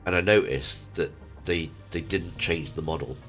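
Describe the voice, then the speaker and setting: A man's voice: deep voice, moderate pace, a bit bright, faint music behind. A man chatting casually as he streams a game, rambling a little and searching for words. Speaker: streamer and host. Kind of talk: casual chat while gaming.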